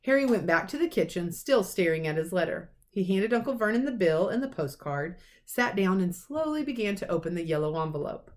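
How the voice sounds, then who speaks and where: A woman reading a children's storybook aloud as a teacher, with expressive narration. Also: mid-range voice, brisk, few pauses. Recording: clearly noisy recording with clicks.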